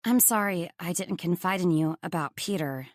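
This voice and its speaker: A woman's voice: fairly low pitch, moderate pace, dry recording, studio quality, lightly acted. A woman voicing an earnest, guarded young heroine in audiobook dialogue, sincere and a little unsure.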